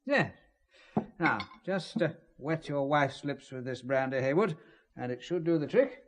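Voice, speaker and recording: A suave spymaster in an audio drama, pitched a little high. He sounds genteel and patronising, smoothly dodging in a scripted thriller manner. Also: expressive, measured pace. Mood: smooth, evasive. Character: suave, evasive English intelligence man.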